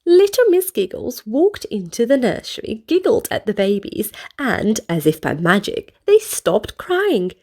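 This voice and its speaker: A high-voiced woman reads a storybook aloud to young children. She takes her time, with big melodic swings and direct questions and exclamations for the listeners. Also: very animated, measured pace, few pauses, warm tone. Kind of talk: expressive read-aloud narration for children.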